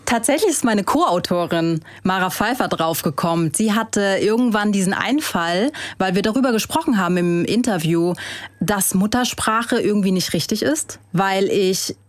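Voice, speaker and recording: A woman from Germany, a guest and author, giving thoughtful, measured interview answers with the odd hesitation. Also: medium pitch, animated, no echo.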